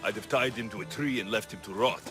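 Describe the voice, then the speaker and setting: A man's voice: on the low side, fast, a bit bright, soft background music, close to an everyday voice. A man playing a confident, teasing Greek mercenary hero in a cinematic video game, with a wry, casual manner. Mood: assured, direct.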